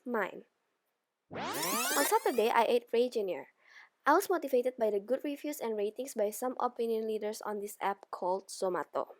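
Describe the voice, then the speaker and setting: A young woman from Indonesia, a student, reading her video journal aloud in a plain, careful way with a few slips. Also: on the high side. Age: young adult.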